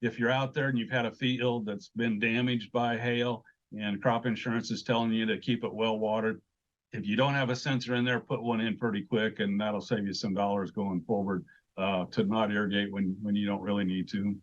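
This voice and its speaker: A man giving measured, hesitant explanations as an agricultural expert in an informal interview. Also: on the low side, level tone, dull audio.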